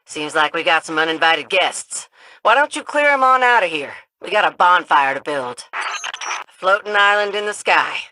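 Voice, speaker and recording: A folksy drawling game guide. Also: male voice, high-pitched, animated, normal speed, pushed and bright, dry recording, exaggerated voice, rough recording. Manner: laid-back, folksy, drops g's. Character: folksy drawling man hosting a fireside event. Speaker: game character guiding the player.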